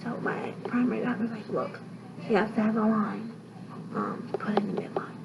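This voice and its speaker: A soft-spoken woman vlogging casually from a hospital room, half-whispering and chatty, often calling the viewers guys. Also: mid-range voice, moderate pace, muffled recording. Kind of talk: casual chat to camera. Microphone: laptop built-in mic. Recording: very noisy laptop recording.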